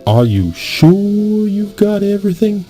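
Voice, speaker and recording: A man's voice: high-pitched, sing-song, slow pace, dark tone, exaggerated voice. An actor voicing a protective, affectionate, worried father in a video game. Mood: anxious, fussing. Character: doting, worried father of a grown daughter. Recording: music clearly under the voice. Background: plucked synthesized game music.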